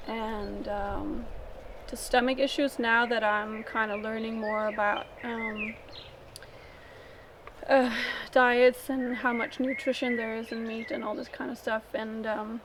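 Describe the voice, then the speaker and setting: A woman from Denmark telling her personal story in an interview, in a halting, thinking-aloud way. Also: a little high, little pitch movement, background noise.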